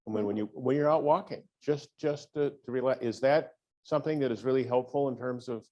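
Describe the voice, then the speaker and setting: A man in the audience asking a question, halting and repeating words as he goes. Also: mid-range voice, dark tone, muffled recording.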